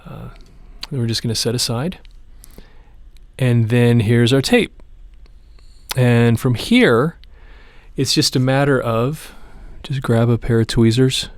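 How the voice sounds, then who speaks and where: A man presenting a hands-on repair tutorial, explaining each step in an informal, plain-spoken way. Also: medium pitch. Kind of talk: casual hands-on how-to demonstration.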